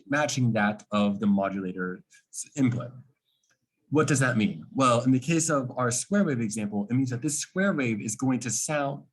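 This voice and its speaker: A man with a slightly husky voice giving an informal technical explanation, like a student presenting a project, posing questions and then answering them. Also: mid-range voice, rough recording.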